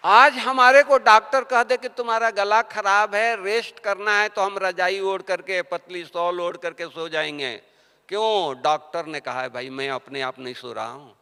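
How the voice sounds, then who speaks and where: Guy giving a slow sermon, bright clear voice a bit high, long echo in the hall. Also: steady tone. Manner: storytelling, rhetorical questions, swings between soft and loud. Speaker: spiritual teacher. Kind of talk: devotional sermon.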